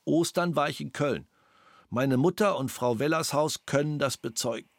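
A man reading aloud for a radio play, slow and measured. He sits close to a studio mic, and the sound is dry and clean with no room around him. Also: on the high side, no room sound.

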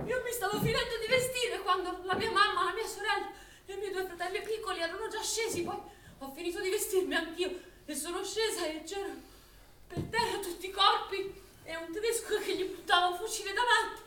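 An actress playing a grave narrator and witness of a wartime massacre, in a dramatic stage style. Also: female voice, extremely high pitch, fairly quick, bright, forceful tone. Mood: sorrowful testimony. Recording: roomy stage sound, faint noise.

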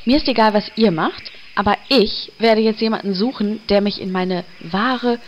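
A woman's voice: mid-range voice, moderate pace, lo-fi sound, a little hiss or hum, natural voice. A woman playing a vain elf turned undead, snippy and offended. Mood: indignant. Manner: indignant, snippy.

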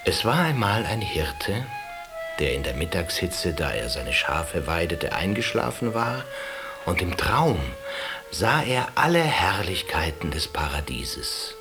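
A man in a drama telling a patient a story, lively and cajoling. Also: deep voice, a little slow, music in the background, heavily acted. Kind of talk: stage drama acting.

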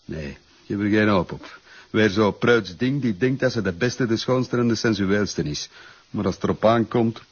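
An actor with a Flemish accent playing a cold, dismissive schemer in a radio crime drama. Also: male voice, fairly low pitch, normal speed, husky, dull audio. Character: cold, dismissive male schemer with powerful friends.

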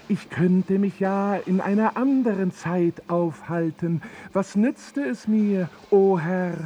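An earnest, bitter supplicant in an experimental radio play. He pleads solemnly and slowly. His voice is high yet mellow. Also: slight background noise. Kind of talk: avant-garde radio play acting.

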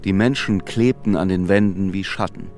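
A man acts as a grave storyteller narrating a literary radio drama. His low voice is measured and sombre, with music clearly underneath. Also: a little mellow, natural voice.

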